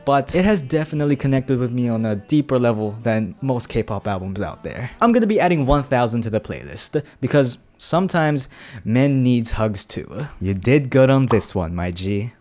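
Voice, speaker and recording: A man's voice: medium pitch, sing-song, moderate pace, darkish tone. A young man giving a scripted album review, opinionated, with casual slang asides, speaking close into a handheld microphone. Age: young adult. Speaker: music reviewer. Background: music playing under the voice.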